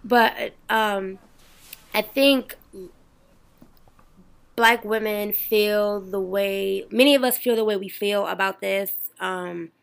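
A woman speaking as a podcast co-host in a casual, measured discussion, choosing her words carefully. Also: mid-range voice, steady tone, gravelly, clear, resonant tone.

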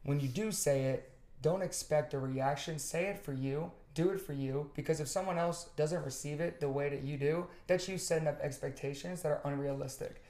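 Podcast host, man, fairly high voice, earnest and rambling, roomy echo. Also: moderate pace, warm tone. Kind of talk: casual conversation.

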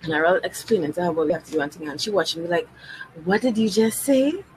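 A woman telling anecdotes in a relaxed way during casual podcast chat. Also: on the low side, quick pace, a little hiss or hum, rough recording. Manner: conversational, anecdotal.

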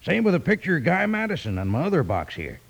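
An actor playing a chummy, folksy cowboy pitchman with a gravelly voice in a 1950s children's radio commercial. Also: fast, heavily acted. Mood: jovial. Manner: animated, folksy Western exclamations.

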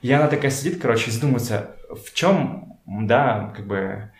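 A man talking casually as a podcast guest, telling stories in a halting way as he searches for words. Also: mid-range voice. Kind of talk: casual conversational storytelling. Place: indoors in a home. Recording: echoey room.

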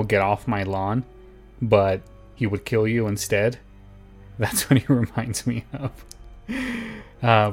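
A man hosting a podcast, chatting to listeners in a laid-back, informal, rambling way. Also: mid-range voice. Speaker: podcast host. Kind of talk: casual chat to listeners. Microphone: close podcast microphone, wearing headphones.

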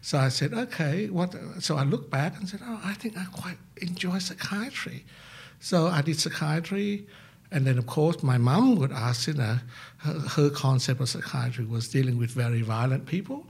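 An older male psychiatrist, answering interview questions slowly with many fillers. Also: fairly high pitch, gravelly, breathy edge. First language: Vietnamese. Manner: halting, many fillers, laughs at himself. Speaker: psychiatrist and senior lecturer.

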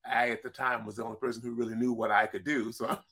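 A man with a deep voice, a singer-songwriter, telling stories in a relaxed interview and often restarting his words. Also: fast. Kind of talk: casual interview storytelling.

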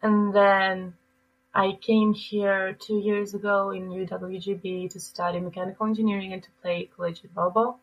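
Young raised woman, relaxed and chatty. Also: mid-range voice, normal speed, muffled recording. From Greece. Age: 20s. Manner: relaxed, lots of "like" fillers. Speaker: college volleyball player guest. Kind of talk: casual interview answers.

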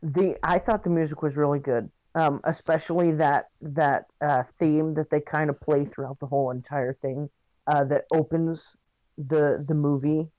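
A young man co-hosting a podcast, chatting casually and haltingly as he searches for words, on a slightly dull microphone. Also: a little high, fairly even intonation, darkish tone, dry recording. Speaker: podcast co-host. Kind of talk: casual movie review chat. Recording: dry, slightly dull lo-fi mic.

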